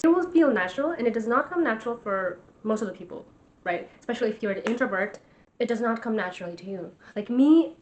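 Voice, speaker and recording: Woman, twenties, earnest and encouraging, casual vlog talk, medium pitch, faint hiss. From Nepal. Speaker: vlogger and life coach.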